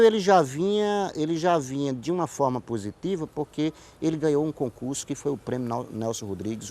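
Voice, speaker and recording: Male interview guest, relaxed dark timbre, Northeastern Brazilian accent, conversational storyteller who restarts and repeats for emphasis. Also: fairly high pitch, vocal fry, a little hiss or hum. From Paraíba, Brazil. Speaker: interview guest, writer and playwright. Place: TV studio.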